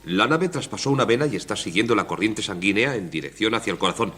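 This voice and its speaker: An actor playing a serious, urgent mission doctor in a radio drama. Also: male voice, on the low side, animated, fast talker, fairly bright tone, slight background noise.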